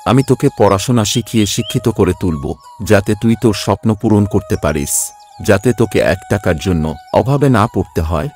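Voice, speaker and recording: A man's voice: on the low side, expressive, fairly quick, a little mellow, soft background music, natural voice. A man playing a humble, hardworking poor father in a cartoon story, speaking earnestly and reassuringly. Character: hardworking, self-sacrificing poor labourer father, devoted and humble. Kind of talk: Bengali cartoon story voice acting.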